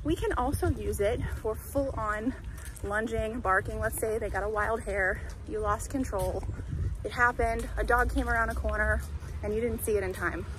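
A woman's voice: on the high side, fairly even intonation, moderate pace, a bit bright, low-quality recording. Woman dog trainer talking while walking outside. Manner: clear, explanatory, conversational teaching. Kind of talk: instructional walk-and-talk. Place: outdoors by a creek in a town center. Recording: outdoor street noise.

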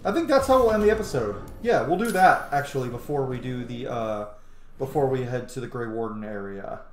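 A man's voice: mid-range voice, animated, fairly quick. A man in his thirties giving casual, enthusiastic, swear-heavy commentary while playing a game. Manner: enthusiastic, profane. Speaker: gaming streamer. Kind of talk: casual let's play commentary. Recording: roomy echo, background game ambience noise.

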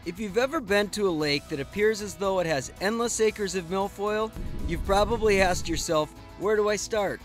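A man's voice: sits high, normal speed, soft background music. An American man hosting and narrating a fishing show. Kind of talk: scripted narration and on-the-water chat.

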